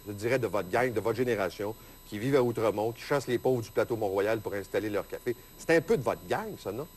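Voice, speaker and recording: A man's voice: mid-range voice, a little mellow. A man with a Québécois accent interviewing a guest, pressing his questions and cutting in. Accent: Québécois French. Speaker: radio interviewer.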